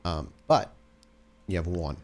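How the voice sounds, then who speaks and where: A man's voice: low-pitched, takes it slowly, gravelly, a little mellow. A man narrating a tabletop roleplay show as its storyteller and game master.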